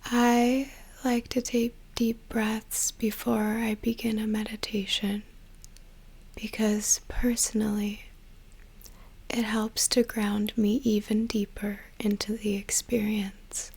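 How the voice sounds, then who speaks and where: A young woman whispering a slow, soothing guided meditation as an ASMR creator, with a soft breathy voice. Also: mid-range voice, level tone.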